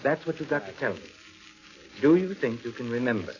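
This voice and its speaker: An actor playing a rational doctor who questions in a measured, analytical way in a vintage radio drama. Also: male voice, mid-range voice, big pitch swings, faint music behind, natural voice. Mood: calm, probing. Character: rational, probing doctor calming a frightened patient. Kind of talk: 1940s radio suspense acting. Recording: dull old radio recording.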